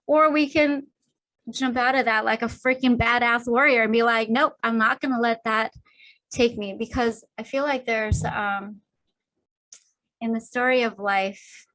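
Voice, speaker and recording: A woman speaking as a guest in a motivational podcast conversation, lively and animated with upbeat pep-talk phrasing. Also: on the high side, rough recording.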